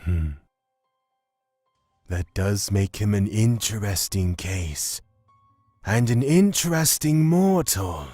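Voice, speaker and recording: A man playing a grave, reserved god in a fantasy audio drama, speaking deliberately in a breathy, husky voice. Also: lowish voice, expressive, mellow, no echo. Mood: pondering. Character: grave, measured male god of fear and courage. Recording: music under the voice.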